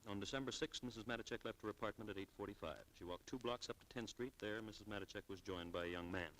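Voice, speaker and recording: An actor playing a businesslike private detective, clipped and official, in 1940s radio style. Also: male voice, on the low side, fast, a bit bright, natural voice. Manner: clipped, official, matter-of-fact. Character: businesslike, matter-of-fact private detective, a man. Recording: noisy vintage radio recording.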